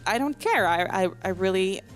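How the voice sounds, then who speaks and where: A young woman with a light Dutch accent rambles along in a relaxed home voiceover, laughing softly at herself. She talks in a mid-pitched voice, full of ums and restarts. Faint music plays under her. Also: normal speed. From Netherlands. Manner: chatty, giggly, self-deprecating, with many fillers and restarts. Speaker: Sims speed build vlogger. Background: soft background music.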